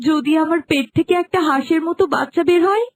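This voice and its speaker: A woman acting a high-voiced, meek young wife. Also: quick pace. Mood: worried. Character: young village wife and mother, pleading, protective, meek. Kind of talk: dramatic Bengali fairy-tale cartoon voice acting.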